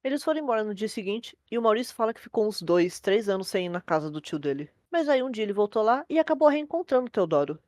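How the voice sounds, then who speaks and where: A Brazilian woman hosts and narrates creepy tales. She speaks at a fast clip in a medium-pitched voice. The sound is dry, without room echo. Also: animated, darkish tone. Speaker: host and narrator.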